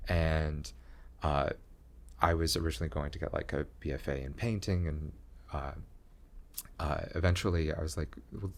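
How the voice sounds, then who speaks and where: A young man talking slowly in a low voice with long pauses, chatting as a guest about his art and career. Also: fairly even intonation. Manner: slow, low-voiced, pausing talk. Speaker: comedian and graphic design teacher, guest. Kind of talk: casual interview chat.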